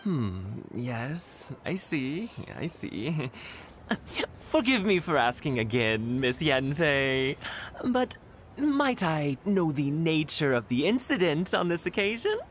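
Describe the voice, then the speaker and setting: A woman playing a polite, cautiously curious shopkeeper in a video game, speaking in a deferential, halting manner. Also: deep voice, big pitch swings, a little hiss or hum, exaggerated voice.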